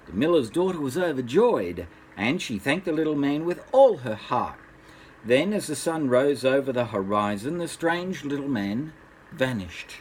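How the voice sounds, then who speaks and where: An older man, a grandfather, narrating a children's bedtime story in an unhurried, expressive way. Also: mid-range voice, a little hiss or hum, poor audio.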